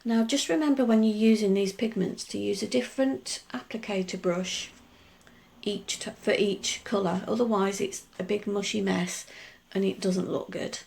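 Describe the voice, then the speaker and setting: A middle-aged woman chats through her nail art steps, wandering off into asides as she goes. Her voice is gruff and full of gravelly fry because she has only just got up. Her pitch sits in the middle. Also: fairly even intonation, normal speed, darkish tone. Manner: chatty, rambling explanations with many asides. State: just woken up. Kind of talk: casual tutorial voice-over.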